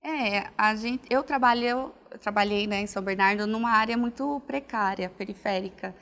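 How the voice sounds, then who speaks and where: A woman's voice: mid-range voice, normal speed, a bit bright. A young adult woman from Alagoas, a pedagogue and psychology student, answering interview questions in a reflective, casual way. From Northeast Brazil, Alagoas. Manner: reflective, frequent "né" and restarts. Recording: long hall reverb.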